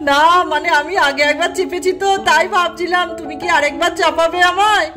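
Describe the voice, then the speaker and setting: A woman's voice: very high voice, steady tone, fairly quick, music in the background. A woman voicing a polite, hesitant young village child in a doll story. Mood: hesitant, hopeful. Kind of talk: amateur doll-story voice acting.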